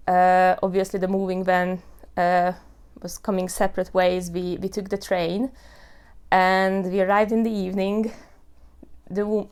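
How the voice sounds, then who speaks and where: Smooth-voiced woman in her thirties. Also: mid-range voice. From Hungary. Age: 30s. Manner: earnest, with many fillers like "you know" and "uh". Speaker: tenant telling her story. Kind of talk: personal testimony.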